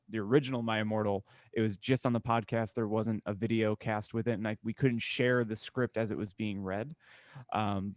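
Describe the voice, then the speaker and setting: A man's voice: lowish voice, darkish tone, no room sound, studio quality. A man co-hosting a podcast in casual chat, explaining things in a rambling, long-winded way. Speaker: podcast co-host.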